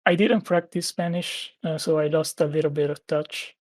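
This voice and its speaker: A man's voice: on the high side, fairly even intonation, moderate pace, no room sound. Hesitant man, dry sound. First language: Italian. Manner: hesitant, full of "uh" fillers. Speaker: podcast guest. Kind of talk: casual chat.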